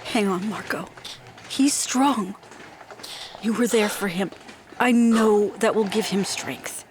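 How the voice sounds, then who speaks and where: An actress playing a shaken, caring woman in an audio drama, speaking in a gentle, hesitant way. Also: medium pitch, takes it slowly, background noise, close to an everyday voice. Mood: concerned, reassuring. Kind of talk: naturalistic audio drama acting.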